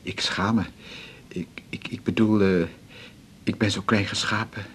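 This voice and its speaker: An actor playing an embarrassed, insecure young student at the doctor's, hesitant and apologetic, in a naturalistic radio drama. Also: male voice, on the low side, flat delivery, a little slow, breathy edge. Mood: ashamed, halting. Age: young adult.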